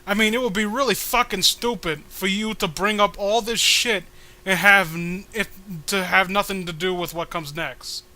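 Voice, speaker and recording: High-pitched, exasperated man riffing. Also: fairly even intonation, pushed and bright. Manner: exasperated ranting, profane, halting. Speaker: co-host of a comic read-along. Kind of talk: casual comedic riffing and commentary.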